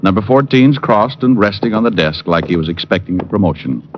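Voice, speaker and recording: A man's voice: fairly low pitch, animated, brisk, background noise, close to an everyday voice. An actor playing a wisecracking, flirtatious private detective in a 1950s radio drama, dry and glib as he narrates and banters. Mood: wry narrating. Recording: muffled old radio broadcast with music.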